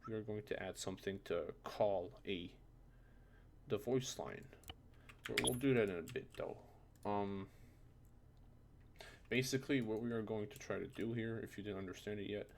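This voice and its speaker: A man who is a non-native English speaker, presenting a casual, unscripted software tutorial in an informal, self-deprecating way with muttered asides. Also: lowish voice, normal speed, gravelly, long pauses, slight background noise. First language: not English.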